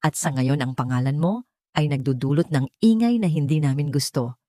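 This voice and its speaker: Woman voicing a strict, cold male hotel manager, mellow. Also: low voice, moderate pace, no echo, natural voice. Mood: dismissive. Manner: stern, emotionless, threatening formality.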